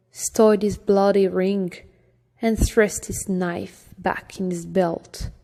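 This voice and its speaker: A woman with a strong French accent reading a fantasy novel aloud as an amateur audiobook reader, slowly and carefully, sometimes stumbling. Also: lowish voice, a little mellow. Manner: slow and careful, with some stumbles. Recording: long hall reverb.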